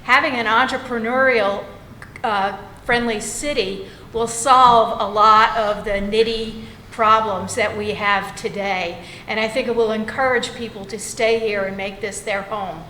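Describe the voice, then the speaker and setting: A woman's voice: mid-range voice, steady tone, sharp tone, a little hiss or hum. Woman candidate in an echoey hall. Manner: measured, with frequent filler pauses. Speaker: mayoral candidate. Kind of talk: opening statement at a public forum.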